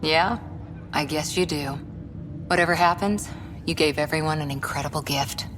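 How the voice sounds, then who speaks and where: A thoughtful female officer in a sci-fi game, acted by a woman. Her voice is very low and casually reflective, with clear ambient noise behind it. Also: moderate pace, close to an everyday voice. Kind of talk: video game dialogue.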